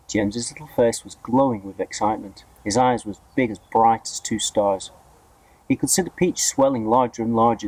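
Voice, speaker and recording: A man reading a children's story aloud in an expressive narrating style. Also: fairly low pitch, husky, poor audio. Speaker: storybook reader, school staff member.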